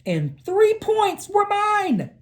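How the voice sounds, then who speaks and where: A woman's voice: very high voice, drawn-out words, pitched above the performer's own voice, heavily acted. A woman reading a children's storybook, voicing an eager, earnest young boy with big dreams. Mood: excited, proud. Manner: earnest, excitable. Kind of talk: children's storybook read-aloud.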